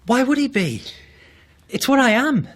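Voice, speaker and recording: A man's voice: very high voice, big pitch swings, unhurried, exaggerated voice. A man playing a defensive, indignant claimant son in a naturalistic radio drama.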